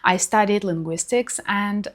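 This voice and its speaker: A woman's voice: medium pitch, a little slow. Young woman. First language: Ukrainian and Russian. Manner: clear, enthusiastic, listing examples. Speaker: language educator, channel host. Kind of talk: scripted educational explainer.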